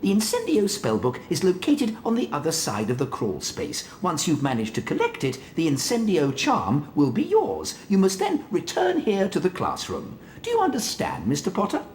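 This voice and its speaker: A man's voice: high voice, wildly swooping pitch, normal speed, few pauses, darkish tone, a little hiss or hum, full character voice. A man voicing a fussy, formal male wizard professor, speaking in a prim, lilting way in a game cutscene. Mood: formal instructing. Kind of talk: video game cutscene acting.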